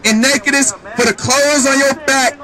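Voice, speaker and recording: High-pitched male street preacher, loud and insistent. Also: fairly even intonation, normal speed. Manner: loud, insistent, questioning bystanders. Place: under a canopy on a city street. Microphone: handheld microphone through a loudspeaker. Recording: outdoor street PA with crowd noise.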